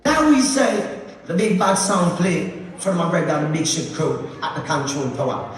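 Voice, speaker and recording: A man's voice: a little high, very animated, moderate pace, far from the mic. A man singing and chanting a reggae dubplate. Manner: chanting, melodic. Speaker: dubplate singer. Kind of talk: reggae singing over a sound system record. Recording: roomy hall, played from afar over speakers. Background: loud reggae music.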